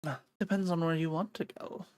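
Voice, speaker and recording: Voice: mid-range voice, steady tone, brisk, darkish tone, clean tone, dry recording, studio quality, lightly acted. A streamer voicing a sly, grinning cat-girl from a visual novel, teasing, cryptic and leisurely.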